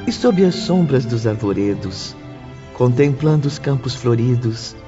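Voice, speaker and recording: A man narrating a scripted audiobook in a solemn, deliberate manner. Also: medium pitch, expressive. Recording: dull lo-fi audio over faint music.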